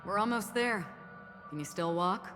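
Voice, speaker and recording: An actress playing a calm, enigmatic woman swordfighter in a game, speaking in a measured, formal, cryptic way with wistfulness. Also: mid-range voice. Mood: gently wry. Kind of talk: video game story acting. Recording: long reverb, clear music behind. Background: music.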